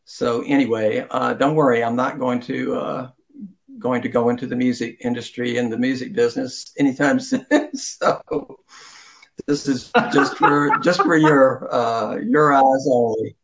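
A man's voice: medium pitch, measured pace, low-quality recording. Older man, rambling and chuckling. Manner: rambling, chuckling, self-deprecating. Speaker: interview guest, writer. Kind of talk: conversational interview answers.

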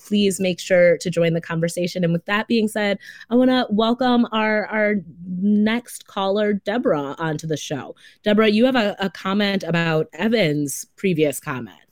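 A woman's voice: mid-range voice, normal speed, few pauses, dry recording, studio quality. A woman hosting a public radio talk show. She speaks in a gracious, conversational way. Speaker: radio host. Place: radio studio. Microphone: studio mic.

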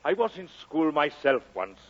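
An actor playing a courtly but menacing prizefighter, talking with deliberate mock courtesy in an old radio drama. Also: male voice, a little high, big pitch swings, husky. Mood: mock-polite. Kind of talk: 1940s-50s radio drama acting. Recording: dull old radio recording.

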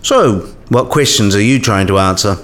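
Man acting animated, eccentric old professor. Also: on the low side, normal speed. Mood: probing. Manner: musing, didactic. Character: eccentric, kindly old male philosophy professor. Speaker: professor character in a radio play. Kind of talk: scripted radio play dialogue.

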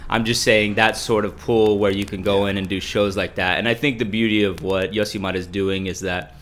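Podcast host: American man, lowish bright voice, sweary and informal, slight room noise. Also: fairly even intonation, fairly quick. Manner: profane, slangy, informal. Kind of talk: casual chat interview.

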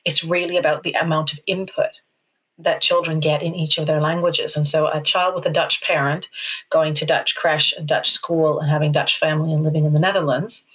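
A woman's voice: low-pitched, steady tone, fairly quick, a bit bright, husky, rough recording. A woman speaking as an expert guest, explaining things earnestly and returning again and again to her key points. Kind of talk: explanatory conversation.

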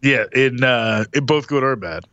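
A man's voice: mid-range voice, lively intonation, normal speed, pushed and bright, no room sound, muffled recording. A man co-hosting a podcast, chatting casually in an easygoing, hesitant way with many fillers. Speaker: podcast co-host. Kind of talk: casual chat.

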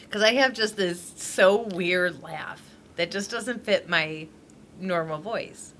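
A woman's voice: on the low side, takes it slowly, a bit bright, a little hiss or hum. A middle-aged woman, co-hosting a podcast at her dining table, rambling and laughing often, a little tipsy. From Michigan, USA. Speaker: podcast co-host. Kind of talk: casual chat. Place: at a large dining table at home.

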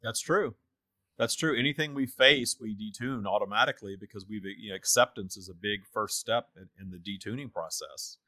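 A man's voice: lowish voice, brisk, bright, forceful tone, no echo. A man talking earnestly and reflectively about spiritual practice in a conversational way, as a show host, with emphasis on his points.

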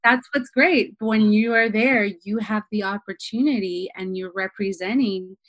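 Woman, casual podcast guest, animated, halting restarts, dry sound. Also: medium pitch, dry recording, low-quality recording. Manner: animated, restarts sentences, many fillers. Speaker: travel journalist guest.

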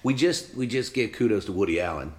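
Man, interview host. Keeps it loose, teases the guest, jumps in quickly with his own opinions. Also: lowish voice, expressive. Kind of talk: conversational interview.

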